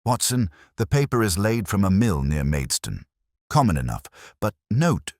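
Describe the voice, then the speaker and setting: A man's voice: on the low side, very animated, normal speed, darkish tone, dry recording, studio quality, voice pushed up. A man voicing a brilliant, unyielding Victorian London detective in an audiobook, formal and decisive in his speech. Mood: analytical.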